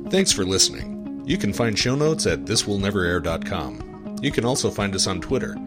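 A man with a very deep voice reads a brisk podcast sign-off in an announcing tone. Loud plucked guitar music plays behind him throughout. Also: big pitch swings. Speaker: podcast host. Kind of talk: show outro.

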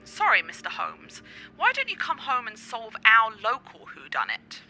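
A woman playing a witty, theatrical sweetheart with a dark streak in a radio play, with an arch, dramatic delivery over music. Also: a little high, wildly swooping pitch, moderate pace, slight background noise, full character voice. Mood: arch, teasing.

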